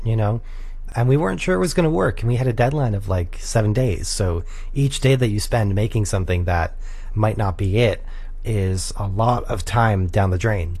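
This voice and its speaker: Casual young male music producer. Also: mid-range voice. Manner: casual, relaxed, many "like" and "sort of" fillers. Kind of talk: radio interview guest.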